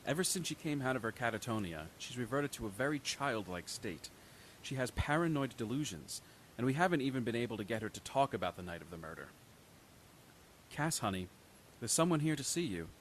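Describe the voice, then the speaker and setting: An actor playing a calm, cautious doctor in a radio drama. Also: male voice, mid-range voice, brisk, slight background noise, close to an everyday voice.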